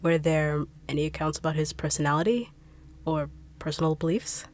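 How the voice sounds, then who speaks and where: A young woman voicing a blunt, sharp-tongued treasure-hunting bodyguard in an audio roleplay drama, brusque and mocking. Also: low-pitched, a little fast, lightly acted. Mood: condescending.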